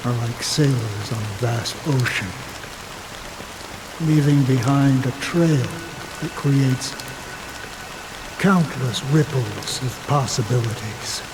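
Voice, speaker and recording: Brief: a man as a sorrowful storyteller, very slow and measured, rain clearly audible. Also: medium pitch, background noise, lightly acted. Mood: somber. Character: weary man who has watched decades of rain. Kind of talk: video game cutscene dialogue. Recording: steady rain heard behind.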